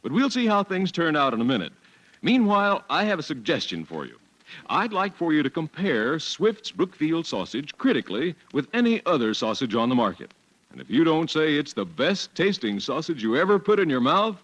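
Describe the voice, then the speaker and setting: A man's voice: fairly high pitch, sing-song, normal speed, fairly bright tone, rough recording. A man announcing in a scripted 1940s radio style, with folksy, polished salesmanship.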